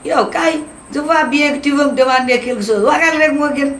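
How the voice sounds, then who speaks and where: An actor voicing an excitable, loudmouthed schemer in a cartoon, with a Senegalese accent and an exclamatory, scolding delivery. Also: high voice, a little fast. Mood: scolding, indignant. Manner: exclamatory, scolding, switching between two languages. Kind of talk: animated cartoon voice acting in comedy. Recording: roomy, echoing, with background noise.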